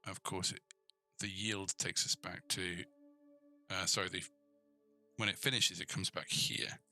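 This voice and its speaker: Bright, forceful man teaching coding casually. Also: sits low, steady tone, a little slow, faint music behind. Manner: informal, talks to the viewer, checks with "right?". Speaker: programming tutor. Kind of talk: casual screencast coding tutorial, thinking aloud.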